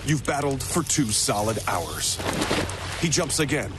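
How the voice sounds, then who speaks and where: A man's voice: mid-range voice, big pitch swings, a little slow, heavy background noise. A man narrating a dramatic scripted radio car commercial. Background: loud music bed.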